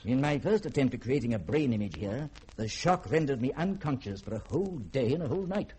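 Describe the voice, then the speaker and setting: An actor playing a proud, learned middle-aged scientist, lecturing precisely and grandly in a 1950s radio drama. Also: male voice, mid-range voice, expressive, a little fast, mellow, lightly acted. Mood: grave, intent. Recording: old radio broadcast, faint hiss.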